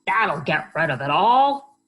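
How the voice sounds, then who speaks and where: A woman's voice: fairly low pitch, very animated, unhurried, fairly bright tone. A woman voicing a helpful, eager pig in a children's storybook, giving advice in an emphatic, encouraging way. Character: helpful, eager pig friend full of ideas.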